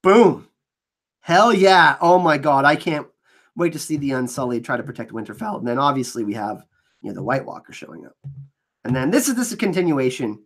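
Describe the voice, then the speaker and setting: Male fan host, casual trailer breakdown, a bit scratchy, somewhat high and very lively pitch. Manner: excitable, enthusiastic fan. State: slightly scratchy from allergies.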